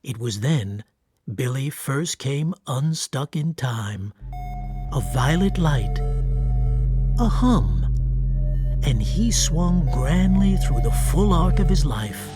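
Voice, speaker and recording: A man narrating a radio drama from a script in a measured, wry, literary way. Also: a little high, very animated, music in the background.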